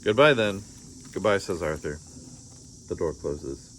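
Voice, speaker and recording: A man's voice: low voice, expressive, unhurried, slight background noise. A man reading a children's storybook aloud expressively, acting out the dialogue. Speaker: storybook reader.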